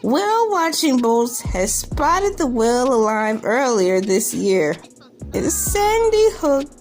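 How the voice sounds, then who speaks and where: Female commentator, higher-pitched and slow, joking and incredulous in casual asides, faint music underneath. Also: sing-song, a little mellow. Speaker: video host. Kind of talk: casual comedic commentary on a news article. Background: background music.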